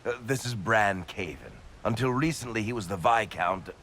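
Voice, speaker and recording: A man voicing a wisecracking, big-hearted dwarf rogue in a video game, with a gravelly voice and a casual, irreverent manner. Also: normal speed, fairly bright tone, a little hiss or hum, natural voice. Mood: wry, breezy. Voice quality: low gravelly voice. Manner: wry, casual, breezily irreverent.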